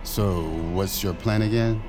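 A man's voice: on the low side, fairly even intonation, a little slow, natural voice. An actor playing a dry, skeptical male accomplice in an audio drama, incredulous and needling, with music behind him. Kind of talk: audio fiction acting.

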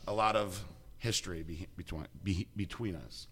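A man's voice: deep voice, moderate pace, fairly bright tone, breathy edge. A man hosting a casual interview, upbeat and chatty with frequent restarts. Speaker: host.

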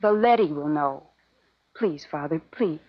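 A woman's voice: sits low, lively intonation, unhurried, no echo. An actress in a 1950s radio drama playing an earnest, stubborn young woman, a scientist's grieving daughter. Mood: pleading. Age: young adult. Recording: muffled, dry vintage radio broadcast.

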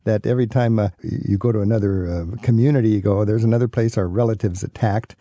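A man's voice: lowish voice, quick pace, warm tone, no echo. A man hosting a radio travel show, interviewing a guest in a casual, chatty, conversational way.

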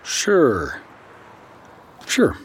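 A man's voice: medium pitch, huge pitch swings, extremely slow, a little hiss or hum, full character voice. A man playing an educated, curt and guarded doctor in naturalistic audio drama. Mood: guarded, businesslike. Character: educated, guarded, dryly irritable male doctor.

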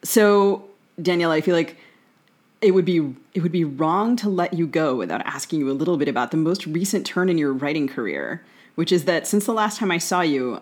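A middle-aged woman co-hosting a podcast, interviewing conversationally in a measured, wry, wordy manner. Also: low voice. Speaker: podcast co-host.

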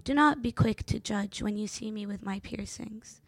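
A woman's voice: mid-range voice, normal speed, gravelly, no echo. A woman in her twenties from Jordan, a native Arabic speaker with an American accent, performing spoken word poetry with rhythmic emphasis. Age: 20s. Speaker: poet reader.

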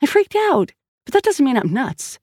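Brief: a narrator voicing an agitated woman detective, audiobook, higher voice, dry close sound. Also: very animated, normal speed, a little mellow, dry recording. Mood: insistent. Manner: insistent and agitated. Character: tense, stubborn woman police detective. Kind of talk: audiobook character voice.

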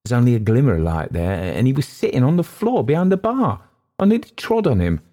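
Man playing a frightened waiter, pitched up and swooping. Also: sing-song, brisk, mellow, lightly acted. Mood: sheepish, flustered. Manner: breathless, protesting, servile. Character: frightened, sheepish young male inn waiter. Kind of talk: acted dialogue in a ghost story reading.

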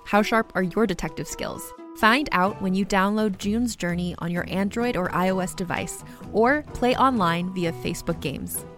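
A woman announcer delivers a scripted advertisement in a mid-pitched voice. Faint music plays behind her as she reads. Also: normal speed, fairly bright tone. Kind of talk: scripted promotional ad read.

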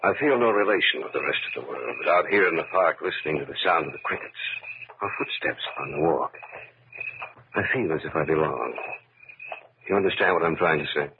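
An actor playing an earnest, pensive loner in a 1940s radio drama. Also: male voice, very deep voice, expressive, a little fast. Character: earnest, pensive man who feels apart from the world. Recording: tinny, noisy 1940s radio recording.

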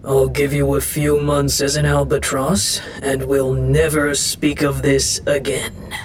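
A gruff, impatient god of the winds, played by a woman, pitched low. He speaks in curt, imperious bursts like a lord with no time for mortals, in an audio fantasy tale. A low rumble of noise sits behind him. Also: little pitch movement, takes it slowly. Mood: irritated, haughty. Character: gruff, impatient, haughty male wind god. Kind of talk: audio fiction narration.

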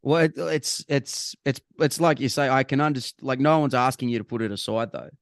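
A man's voice: mid-range voice, a little fast, vocal fry, no room sound, clean studio sound. A man with an Australian accent chatting casually as a podcast co-host, rambling and restarting his phrases, with bursts of emphasis. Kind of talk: casual sports chat.

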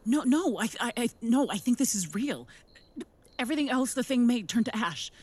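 A woman's voice: on the high side, animated, moderate pace, a little mellow, breathy edge. A woman playing a frightened, protective mother in an audio horror drama, speaking in urgent, broken, stammering phrases. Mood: shaken. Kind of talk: naturalistic audio drama acting.

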